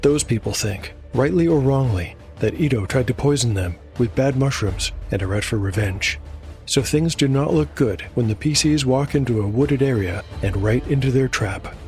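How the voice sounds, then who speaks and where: A man hosting and narrating a scripted dark fantasy tale in a measured, solemn storytelling manner. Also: medium pitch, expressive. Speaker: host and narrator. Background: background music.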